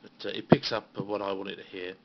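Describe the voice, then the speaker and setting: A British man talks in a low, creaky voice, giving an informal show-and-tell in a halting, understated way. The sound is a dull phone recording with faint noise behind him. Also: little pitch movement. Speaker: CB radio hobbyist giving a tour of equipment. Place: small radio room at home. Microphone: handheld phone.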